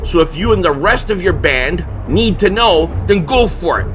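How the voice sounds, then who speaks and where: A man's voice: high-pitched, animated, normal speed, a bit bright, faint music behind. A man acting a cranky, sarcastic brother in a comedy horror audio drama, sounding exasperated. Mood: defiant.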